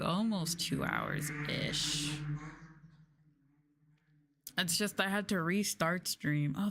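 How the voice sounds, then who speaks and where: Sleepy, low-voiced woman chatting casually. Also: creaky. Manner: drowsy, informal, sighing. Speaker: livestreamer, VTuber. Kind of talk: sleepy casual chat on an ASMR livestream, reading and answering viewers.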